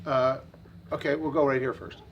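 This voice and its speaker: A man moderating a student Q&A, brisk with short prompts and easy laughs. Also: mid-range voice, muffled recording, a little hiss or hum. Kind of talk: casual event moderation. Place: university event room.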